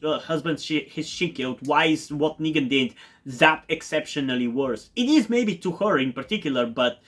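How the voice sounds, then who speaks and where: A man's voice: a little high, fairly bright tone. A man from Bulgaria whose first language is Bulgarian, casually reviewing a TV episode with lots of fillers, restarts and eager repeated words. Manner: rambling, many fillers and restarts; enthusiastic, repeats words for emphasis. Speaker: TV review YouTuber.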